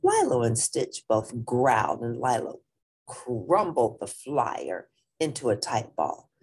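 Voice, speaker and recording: A woman reading a children's story aloud in an animated, dramatic, expressive narrating style. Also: extremely low pitch, slow, husky.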